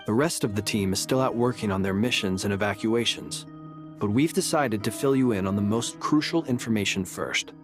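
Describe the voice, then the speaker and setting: A courteous gentleman ally from a game, voiced by a man. He speaks formally in a fairly low voice, with music clearly behind him. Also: a little fast, close to an everyday voice. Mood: earnest.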